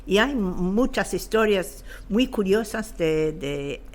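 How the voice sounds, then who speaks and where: A woman, explaining history as an interview guest in a hesitant, halting way as she searches for words. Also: mid-range voice, expressive. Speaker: guest expert on local history. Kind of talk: unscripted explanatory talk.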